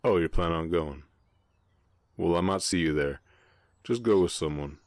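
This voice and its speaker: A man with an American Southern accent voicing a gentle, shy, gruff orc ranch hand in a romantic western audio roleplay, speaking haltingly and protectively. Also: low-pitched, no room sound, studio quality. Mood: reluctant, protective. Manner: bashful, halting, softly protective.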